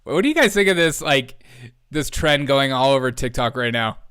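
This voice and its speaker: A man's voice: mid-range voice, lively intonation, normal speed, fairly bright tone, clean tone, dry recording, studio quality. A man hosting a livestream, chatting casually and reacting in an informal, rambling way. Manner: informal, rambling, chuckles often. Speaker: host of a livestream show.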